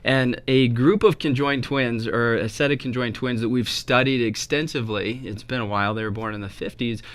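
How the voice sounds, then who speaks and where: A man's voice: medium pitch, steady tone, normal speed, smooth. A chiropractor, a man, patiently explaining health topics on a talk show, often checking in with "right?". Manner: patient explainer, frequent tag questions. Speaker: chiropractor guest.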